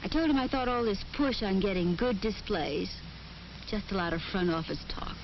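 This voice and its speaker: A woman's voice: medium pitch, moderate pace, close to an everyday voice. An actress playing a prim, skeptical young otherworldly woman, a little hesitant and coy, in a 1950s training film. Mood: needling. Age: young adult. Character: prim, skeptical young woman from the world above, posing as a writer. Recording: muffled, noisy 1950s film soundtrack.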